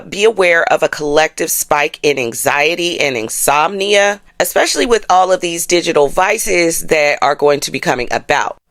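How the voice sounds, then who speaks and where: A woman's voice: fairly low pitch, a bit bright, no room sound. An American woman hosting an informal astrology forecast, speaking colloquially and emphatically with frequent check-in tags. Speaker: host of an astrology channel.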